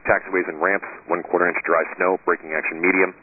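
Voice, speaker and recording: A man's voice: sits low, level tone, quick pace, narrow, tinny audio, a little hiss or hum. A man reading a scripted aviation weather broadcast in clipped, formulaic phrases over a radio. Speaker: airport weather information announcer.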